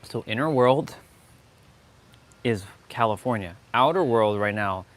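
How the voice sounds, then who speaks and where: A man's voice: mid-range voice, expressive, unhurried. A man with a Canadian accent giving an impromptu, insistent motivational monologue on a livestream, repeating phrases for stress. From Toronto, Canada. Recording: clearly noisy outdoor ambience.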